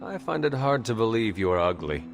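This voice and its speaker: An actor playing a solemn, polite supernatural hero in a video game, speaking formally and with restraint. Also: male voice, on the low side, very animated, a little fast, creaky. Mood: earnest, curious. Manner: formal, avoids contractions, restrained. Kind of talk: video game cutscene acting.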